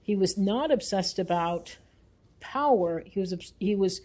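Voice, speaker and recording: A woman's voice: medium pitch, animated, unhurried, mellow. A woman speaking as an interview guest and researcher, earnest and emphatic, repeating phrases for weight. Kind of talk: thoughtful spoken interview.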